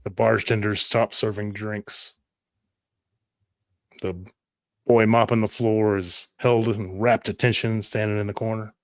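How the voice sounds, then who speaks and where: Guy running a tabletop game, lowish voice, close dry sound, moody scene setting. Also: flat delivery, measured pace, long pauses, no echo. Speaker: game master. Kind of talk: descriptive third-person tabletop game narration.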